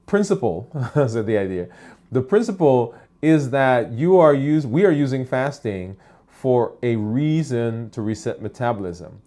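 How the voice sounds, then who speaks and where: A man's voice: medium pitch, mellow. Chatty man hosting a live stream. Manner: friendly, chatty, many fillers and restarts. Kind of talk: casual live-stream talk answering viewer comments. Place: office.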